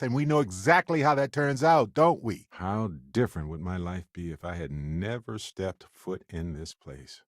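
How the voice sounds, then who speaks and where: A wry spacefaring companion from a video game, with a fairly low voice that moves around a lot in pitch. He sounds adventurous and warm-hearted, talking conversationally in a close, dry recording. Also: no echo.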